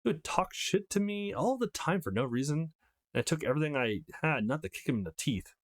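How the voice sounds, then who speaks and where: A man's voice: fairly high pitch, animated, fairly quick, voice pushed up, natural voice. A man voicing a brash, foul-mouthed teenage girl from a visual novel, teasing and bragging in a cheeky, crude way. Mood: indignant. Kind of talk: reading visual novel dialogue aloud.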